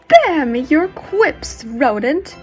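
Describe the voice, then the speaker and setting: A woman's voice: high-pitched, sing-song, slow, over music, full character voice. A woman playing a haughty, menacing sorceress villain in an audio drama, cold and mocking. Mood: haughty, threatening.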